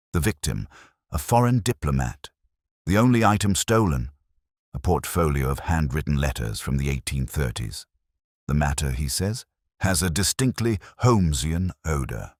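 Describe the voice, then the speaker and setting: A man voicing a cool, cerebral Victorian detective with a formal, deliberate manner, in an audiobook story. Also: a bass voice, sing-song, no echo, clean studio sound, exaggerated voice. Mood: wry, alert.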